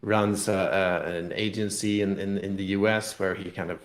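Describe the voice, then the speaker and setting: In a very echoey room, a man with a Swedish accent talks in a lowish voice. He explains things casually as an interview guest, often restarting and restating his phrases. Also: fairly even intonation, normal speed. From Sweden. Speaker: guest, game designer and company head.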